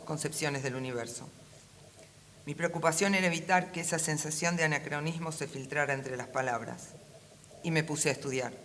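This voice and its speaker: A woman with a Rioplatense Argentine accent reads a prepared talk aloud in a measured, formal, literary manner as a panel speaker. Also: low voice, a bit bright. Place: conference room at a book fair. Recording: faint ambient event noise.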